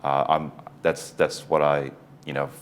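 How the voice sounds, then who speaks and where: A man in his forties, a neurosurgeon being interviewed, who speaks in a measured, thoughtful way with small restarts. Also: extremely low pitch, little pitch movement, a little hiss or hum. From South Korea. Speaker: neurosurgeon guest. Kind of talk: interview answers.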